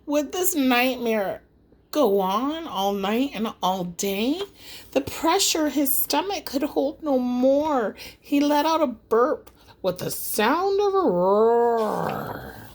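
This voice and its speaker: A woman's voice: high voice, big pitch swings, takes it slowly. A woman reading a rhyming children's story aloud as an audiobook, in a dramatic, singsong storytelling manner. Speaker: audiobook reader.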